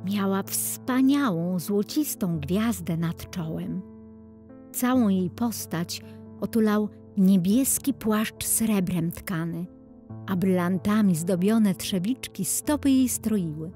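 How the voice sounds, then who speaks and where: A woman reading a scripted fairy tale for children in a measured, expressive storybook manner. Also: mid-range voice. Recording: music under the voice. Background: music.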